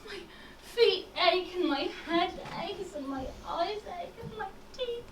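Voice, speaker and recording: A woman's voice: very high voice, lively intonation, unhurried. Woman playing a whining young pupil. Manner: whining, complaining. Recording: roomy stage sound from far off with noise.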